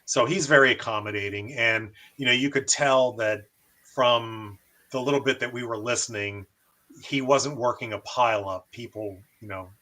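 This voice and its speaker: Bright-voiced American man, radio hobbyist. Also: medium pitch, moderate pace. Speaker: amateur radio operator and show host. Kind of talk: casual demonstration and radio chat.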